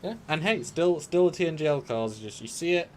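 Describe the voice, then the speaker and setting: Lively chatty man on a call. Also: fairly high pitch, a little fast, a little hiss or hum. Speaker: co-host. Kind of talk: casual live discussion. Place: home room with shelves. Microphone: video call.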